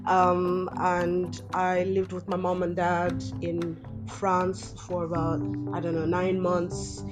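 A woman from Nigeria, a spoken word artist, recalling her childhood in a relaxed, reflective way. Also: fairly low pitch, takes it slowly. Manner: relaxed, reflective, many fillers. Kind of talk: casual interview. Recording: soft music bed behind voice.

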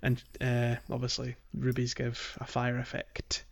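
A man co-hosting a casual gaming podcast, chatting in a relaxed way with frequent ums and small laughs. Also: mid-range voice, a little slow, gravelly. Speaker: podcast co-host. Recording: muffled lo-fi podcast audio.

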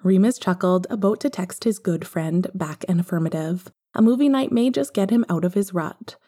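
A woman's voice: lowish voice, moderate pace, dark tone. A woman reading a story aloud as scripted narration, as a podfic reader.

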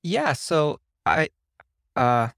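A man from Slovenia, a software engineer guesting on a podcast, who talks casually and wanders off on tangents. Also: on the high side, very animated, drawn-out words, gravelly, no room sound, studio quality. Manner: rambling, self-deprecating, digressive.

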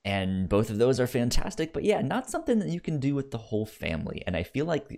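A man co-hosting a casual film discussion, talking haltingly and often repeating words as he gets his thoughts out. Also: mid-range voice, huge pitch swings, gravelly, a little mellow. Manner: halting, repeats words and stammers over starts. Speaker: podcast co-host.

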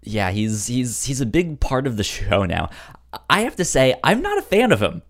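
A man podcast co-host chatting casually. His delivery is halting, often repeating a word or syllable before moving on, and his pitch is middling but swings around in a lively way. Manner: halting, stammering with repeated words. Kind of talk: casual chat.

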